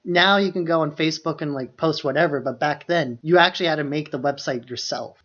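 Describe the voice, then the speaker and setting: Higher-voiced man on a lo-fi podcast. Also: brisk, fairly bright tone. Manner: informal, leans heavily on "like". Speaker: podcast co-host. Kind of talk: casual film chat. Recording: dull, lo-fi podcast audio.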